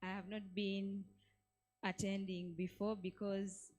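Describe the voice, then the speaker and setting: A woman with a medium-pitched voice gives impromptu remarks as an event attendee. She sounds earnest and deliberate, stressing her points by repetition. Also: level tone, a little mellow. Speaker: summit attendee.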